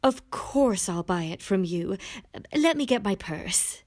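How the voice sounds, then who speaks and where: A breezy, animated society lady. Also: mid-range voice, slow pace. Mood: languid, kindly. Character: Sally, wealthy, breezy, languid, generous society young woman. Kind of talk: audio drama acting of a period novel.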